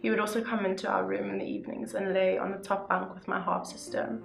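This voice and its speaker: A young woman reading a first-person story aloud in a steady, even way. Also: medium pitch, quick pace, creaky. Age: young adult. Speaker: youth reader. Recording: echoey room with soft guitar music.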